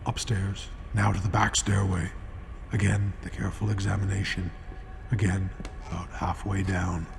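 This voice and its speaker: A man narrating a true-crime radio drama in a grave, measured, scripted style. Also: low voice, flat delivery, creaky, noisy background. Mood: measured, suspenseful.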